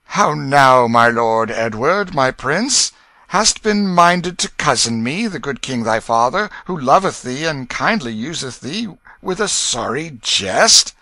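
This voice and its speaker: A man voicing a stern old king turned gentle, anxious father in an audiobook, with stately, coaxing archaic speech. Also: mid-range voice, very animated, takes it slowly, dry recording, pitched above the performer's own voice, exaggerated voice. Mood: gentle reproach. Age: older. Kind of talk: audiobook character voice.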